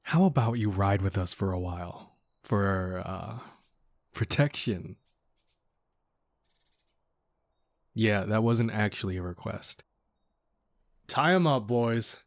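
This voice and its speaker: A man's voice: lowish voice, expressive, unhurried, dry recording. A man playing a cocky but considerate bandit leader in an audio roleplay, talking to the listener in a casual, folksy, wryly mock-courteous way. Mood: mocking, smug.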